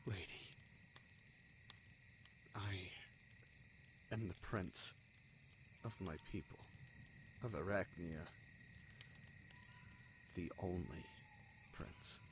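A man acts out a young exiled prince in a fantasy audio drama. His voice is low, bitter and wounded, coming in halting phrases with heavy pauses. Faint night-forest noise with crickets sits behind him. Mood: subdued, resigned. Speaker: voice actor in an audio roleplay.

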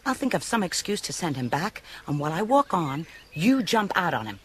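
Brassy American lady crook, very animated. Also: lowish voice, a little fast. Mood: sly, scheming. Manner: slangy, knowing. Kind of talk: 1980s radio drama acting. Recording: noisy radio recording with birdsong.

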